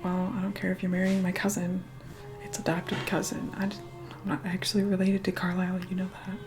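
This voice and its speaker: Woman acting as haughty female vampire, soft ASMR whisper, curt, bossy, condescending, clearly audible music behind. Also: lowish voice, completely flat delivery, fairly quick, natural voice. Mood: irritated. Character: haughty, impatient immortal female vampire, reluctantly helpful. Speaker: ASMR role-play creator. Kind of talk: ASMR role-play, mostly whispered and soft-spoken. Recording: close whispered voice under loud music.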